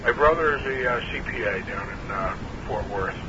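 Older man, hesitant, dull noisy line. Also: lowish voice. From New Hampshire. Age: 60s. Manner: hesitant, many uh fillers, measured. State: clearing his throat, frog in throat. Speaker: interviewee. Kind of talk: oral history interview.

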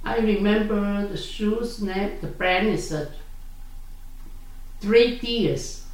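A woman's voice: mid-range voice, darkish tone. Hesitant older woman, echoey. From South Vietnam. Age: 60s. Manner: hesitant, halting with many fillers and self-corrections. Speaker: interviewee. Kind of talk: oral history interview. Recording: echoey room, distant mic, faint noise.

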